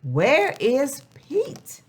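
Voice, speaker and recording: A woman reading a picture book aloud to young children, expressive and sing-song, posing questions as she goes. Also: high voice, drawn-out words. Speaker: children's storybook reader.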